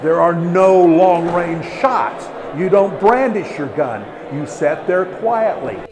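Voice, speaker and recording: A man answers in an interview with a high voice and a slow, measured pace. A crowd is clearly audible behind him. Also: lively intonation.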